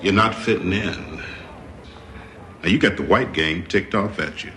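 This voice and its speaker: An actor playing a blunt, probing prison doctor with hesitant phrasing in a television drama. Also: male voice, deep voice, a little slow, sharp tone, a little hiss or hum. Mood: probing, frank. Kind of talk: naturalistic television drama acting.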